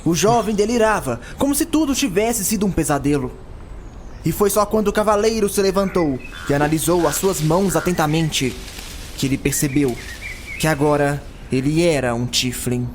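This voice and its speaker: A man's voice: fairly high pitch, animated, moderate pace, slight background noise. A man narrating a scripted fantasy audio drama as a dramatic storyteller. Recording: loud music behind the narration.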